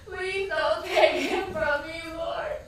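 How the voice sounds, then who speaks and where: A woman's voice: extremely high pitch, fairly even intonation, takes it slowly, full character voice. Grieving religious woman, emotional stage monologue, really echoey, mic far away. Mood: desperate pleading. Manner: emotional, impassioned delivery, swinging from hushed to crying out. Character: grieving, pleading, devout woman recounting a shooting. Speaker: stage actress. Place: theatre stage, distant microphone. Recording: echoey stage recording from afar, faint noise.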